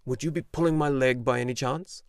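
A man voicing a grumbling old male bookseller in an audiobook, with a gruff manner. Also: mid-range voice, fairly even intonation, fairly quick, no echo, studio quality, natural voice. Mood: suspicious. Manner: gruff, puffing a pipe. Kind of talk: audiobook character voice.

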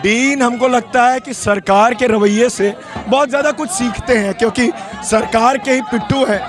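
A man's voice: very high-pitched, fairly even intonation, normal speed. A young male student activist giving fiery answers into a handheld mic at an outdoor street protest. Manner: forceful, fiery political speech. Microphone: handheld reporter mic. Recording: outdoor protest with crowd behind. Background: crowd noise.

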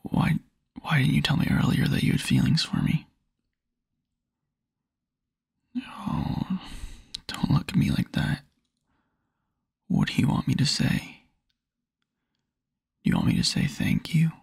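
Man acting a breathy, gravelly, lovesick young boyfriend. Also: a little high, lively intonation, no echo, clean studio sound, full character voice. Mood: flustered, yearning. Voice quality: breathy, husky, near-whisper. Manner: soft, halting, intimate murmur with small chuckles. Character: tender young boyfriend, wistful and quietly lovesick. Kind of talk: intimate ASMR boyfriend roleplay. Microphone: close microphone, dry.

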